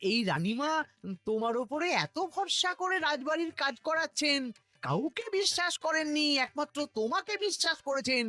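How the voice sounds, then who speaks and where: An actor voicing a meddling, teasing male minister at a royal court in an animated cartoon, needling and fawning. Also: high voice, normal speed, no echo.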